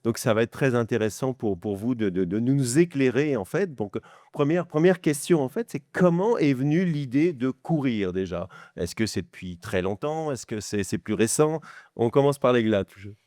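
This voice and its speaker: A man's voice: medium pitch, expressive, moderate pace, mellow, no echo, clean studio sound. A man with a Quebec French accent co-hosting a live podcast, friendly and playful as he asks questions. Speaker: podcast co-host.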